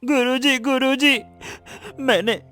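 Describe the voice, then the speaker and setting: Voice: high voice, steady tone, slow, pushed and bright, faint music behind, full character voice. A performer voicing Raju, an impulsive, emotional young son in a cartoon moral story, often repeating words. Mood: desperate, pleading. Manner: stammering, repeats words when anxious. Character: Raju, greedy boastful young man turned desperate, remorseful son.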